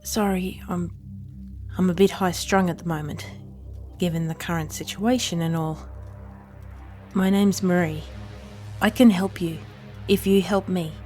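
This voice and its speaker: An actress plays a desperate trapped woman in a horror radio play, in a fairly low female voice. She is high-strung and snippy, pleading for help, with faint music underneath. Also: fairly even intonation, measured pace, long pauses, darkish tone, close to an everyday voice. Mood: tense, snippy. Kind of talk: audio horror drama acting.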